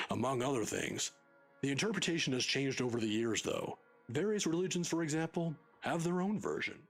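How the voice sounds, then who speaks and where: Husky, lively old professor. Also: male voice, mid-range voice, moderate pace, faint music behind, exaggerated voice. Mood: patient, explaining. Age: older. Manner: scholarly, pedantic, given to chuckling. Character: kindly, patient old male professor of folklore. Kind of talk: video game voice acting.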